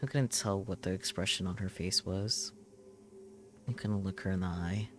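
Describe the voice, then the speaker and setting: A man reading visual novel text aloud as a streamer, in dramatic, intense narration with measured short phrases. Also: sits low, fairly even intonation, noisy background. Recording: music behind the voice. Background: loud game music.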